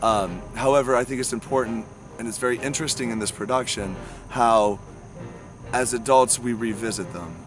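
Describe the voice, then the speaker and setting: A man from a community theatre cast giving a thoughtful, halting interview answer. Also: mid-range voice. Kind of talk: reflective interview talk. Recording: music behind the voice.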